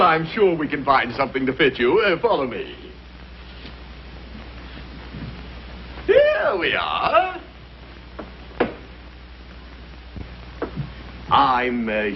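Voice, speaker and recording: Swooping, gushing, snide store clerk. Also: male voice, mid-range voice. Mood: brisk, fussy. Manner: gushing, drawn-out exclamations, snide. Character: fussy, gushing, sarcastic male department-store clerk. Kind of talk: 1950s radio comedy acting. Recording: dull, noisy 1950s radio recording.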